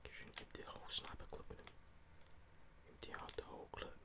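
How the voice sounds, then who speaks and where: Whispering young man, ASMR gaming. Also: quick pace. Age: young adult. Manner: casual, slangy, swearing. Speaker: gaming streamer. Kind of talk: whispered ASMR gameplay commentary.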